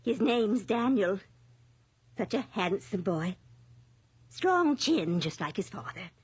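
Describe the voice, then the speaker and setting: A gentle, lonely old mother for radio drama. Her voice is very husky and frail, rising and falling expressively at an unhurried pace. She is polite and wistful. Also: medium pitch, very animated, dry recording, heavily acted. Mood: wistful, proud.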